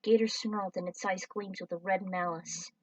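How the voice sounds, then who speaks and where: A woman's voice: mid-range voice, fairly even intonation, normal speed, darkish tone, no echo, distant mic, rough recording. A woman reading her own fan story aloud in a casual, offhand way. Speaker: fan-fiction reader.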